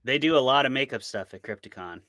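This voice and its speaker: A man's voice: medium pitch, expressive, fast, sharp tone, dry recording, clean studio sound. A man speaking as an interview guest in a casual chat, giving candid, opinionated answers. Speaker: interview guest, horror author.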